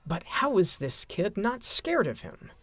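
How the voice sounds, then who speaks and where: Male narrator, scripted fiction, animated intonation, gravelly edge, mid pitch, close dry sound. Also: unhurried, dry recording.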